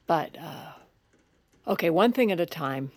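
A woman playing a sharp, resolute president's wife in an audio drama, blunt and wry in both narration and dialogue. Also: deep voice, animated, measured pace, vocal fry, no echo, full character voice. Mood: urgent, resolute.

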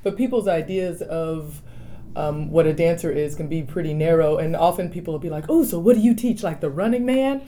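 An American woman talking casually as a guest in an interview, expressive as she tells things and voices other people's words. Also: low-pitched, brisk. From United States (Texas). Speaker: interviewed guest, dancer and choreographer.

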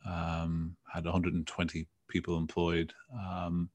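A man around forty speaks with a Dublin Irish accent in a very low voice. He talks casually and conversationally, like an entrepreneur telling his own story on a podcast. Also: monotone, a little slow, darkish tone, lo-fi sound. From Dublin, Ireland. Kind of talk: casual autobiographical interview talk.

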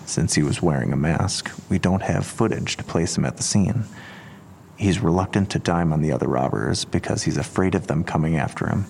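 A patient police detective in an audio drama. His voice is deep with a gravelly creak, and he speaks in a measured, earnest, persistent way. A faint ambient noise sits behind him. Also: a little mellow. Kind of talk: full-cast audio drama acting.

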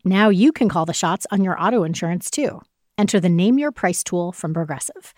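A woman's voice: darkish tone, no echo, studio quality. Upbeat woman reading an ad. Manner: friendly, upbeat pitch. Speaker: ad reader. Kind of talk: upbeat podcast ad read.

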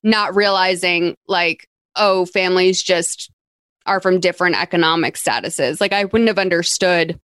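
A woman's voice: on the low side, normal speed, a bit bright, smooth, dry recording. A woman from Massachusetts hosting a podcast, recapping a film in a chatty, rambling way with plenty of "like.". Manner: chatty, rambling, lots of "like" fillers. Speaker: podcast host. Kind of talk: casual chat recapping a film.

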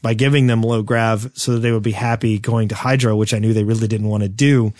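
A man's voice: lowish voice, fairly even intonation, fairly quick, a little mellow, dry recording, clean studio sound. A man hosting a podcast, chatting casually about gaming and telling stories with quoted back-and-forth. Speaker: podcast host.

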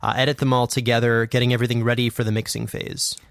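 A man's voice: mid-range voice, level tone, fast, vocal fry, no room sound, clean studio sound. A man co-hosting a podcast as a producer, explaining things in a casual, loosely phrased conversational way. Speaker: podcast co-host, producer and sound editor.

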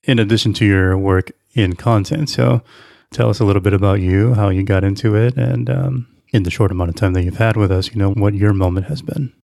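A man's voice: fairly low pitch, fairly quick, creaky, mellow, no room sound, studio quality. A man hosting a podcast, asking questions in a loose, conversational way. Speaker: podcast host. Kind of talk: casual podcast interview.

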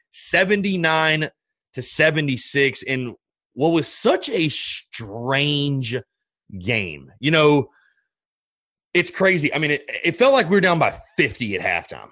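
A young man hosting a sports talk podcast late at night, rambling and excitable, his voice a little raspy. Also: mid-range voice, dry recording, clean studio sound. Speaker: podcast host.

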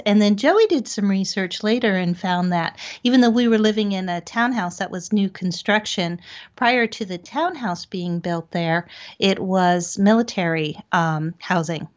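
A woman guest, a paranormal investigator, telling personal accounts in a conversational storytelling style over lo-fi audio. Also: fairly low pitch.

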